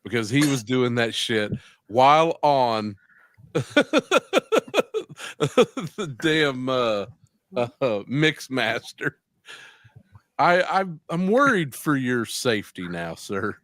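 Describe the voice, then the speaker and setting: A man's voice: medium pitch, very animated, slow pace, pauses often, a bit bright, dry recording, studio quality. A man hosting a casual late-night chat show, laid-back, swearing freely and laughing often. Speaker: host.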